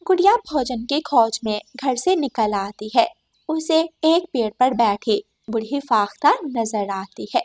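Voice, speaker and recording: A woman's voice: fairly high pitch, animated, a little slow, a little mellow. A woman narrating a scripted children's cartoon story.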